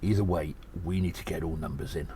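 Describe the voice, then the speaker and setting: A low-voiced, earnest gnome adventurer acted by a man delivers an audio drama report. He sounds worried and urgent, with hesitant phrasing. There is noticeable noise in the recording. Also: steady tone, a little fast, mellow, lightly acted. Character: earnest, worried gnome adventurer rallying allies against an attack. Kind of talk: audio drama monologue, spoken as a personal report.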